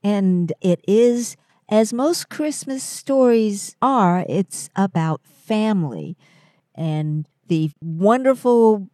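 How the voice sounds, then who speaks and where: Woman, podcast co-host, slow relaxed delivery, mellow, jokey casual chat. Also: mid-range voice, no echo, clean studio sound. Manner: playful, jokey.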